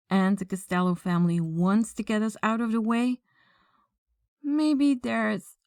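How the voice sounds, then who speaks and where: A woman playing a commanding, mocking mafia boss in an ASMR audio drama, speaking deliberately with condescension and quiet menace. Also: medium pitch, no room sound, clean studio sound. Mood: stern, businesslike.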